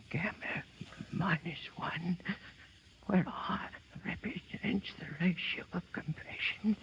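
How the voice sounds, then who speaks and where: A man's voice: fairly high pitch, fairly bright tone. Whispering, dying memory man, old radio. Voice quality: breathy, whispering. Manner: slow, halting recitation. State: gravely wounded, failing. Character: dying stage memory man, dutiful, weakly reciting a secret. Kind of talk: 1930s radio drama acting. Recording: muffled 1930s radio broadcast.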